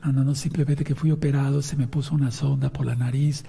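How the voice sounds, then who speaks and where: A man preaching and teaching from scripture in a hoarse voice, addressing his listeners directly with questions and instructions. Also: medium pitch, flat delivery, fast, dark tone. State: hoarse voice from sinusitis after an operation. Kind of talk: sermon with scripture reading and commentary. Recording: long reverberant hall sound.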